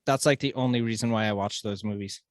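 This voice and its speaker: A man's voice: fairly low pitch, steady tone, quick pace, no echo, studio quality. A man with a Canadian accent hosting a casual interview, chatting in an informal, slangy and agreeable way. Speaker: podcast host.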